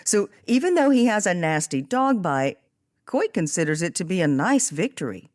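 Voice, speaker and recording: A woman's voice: fairly low pitch, sing-song, normal speed, a little mellow, no room sound, clean studio sound. A woman hosting a podcast, reading scripted narration and summaries. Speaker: podcast host.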